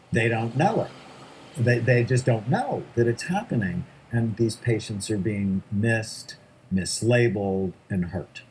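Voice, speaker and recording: A man's voice: lowish voice, slight background noise, low-quality recording. A middle-aged man, a psychiatrist, answering interview questions in an emphatic way, often stressing his points in short lists. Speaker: psychiatrist guest.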